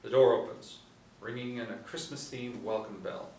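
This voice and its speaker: A man's voice: mid-range voice, fairly even intonation, moderate pace, a little mellow. A middle-aged man reading screenplay stage directions aloud as narrator at a table reading. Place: small indoor room. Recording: roomy, distant mic, light room noise.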